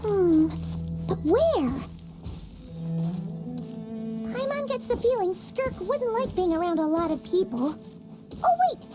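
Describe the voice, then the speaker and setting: A tiny fairy companion with an extremely high, squeaky child's voice. She is bubbly and fretful, swinging wildly in pitch like an excitable game cartoon sidekick. Music plays behind her. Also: measured pace, full character voice. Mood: pondering. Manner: childlike, exclamatory, refers to herself in third person. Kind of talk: anime-style game voice acting.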